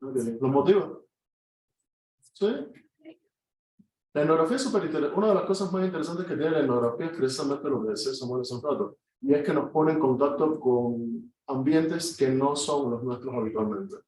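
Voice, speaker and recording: A man with a Cuban accent speaks at a middling pitch in a loose, chatty way, dotting his sentences with fillers. He sounds some distance from the microphone in an echoey classroom, with faint room noise behind him. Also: brisk. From Cuba. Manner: relaxed, conversational, frequent "o sea" fillers. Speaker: guest lecturer, anthropologist. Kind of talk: informal classroom talk. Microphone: far room mic.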